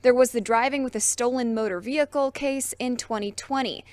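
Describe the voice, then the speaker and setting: A woman's voice: on the high side, a little fast, no room sound. A woman reporting a scripted television news story.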